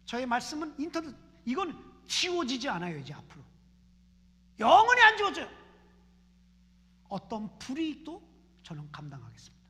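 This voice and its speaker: A woman's voice: sits high, huge pitch swings, normal speed, long pauses, sharp tone. Fervent woman pastor preaching in a reverberant hall. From Seoul, South Korea. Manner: fervent, repeats phrases in rising prayer chants. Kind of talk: impassioned sermon. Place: church sanctuary.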